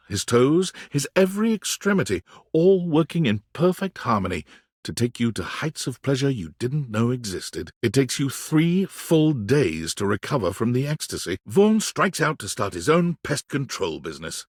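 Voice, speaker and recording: A man playing a wry, florid game narrator who tells the story in an arch, theatrical, deliberate way. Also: medium pitch, husky, no echo, studio quality. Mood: grandiose. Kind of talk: dramatic scripted game narration.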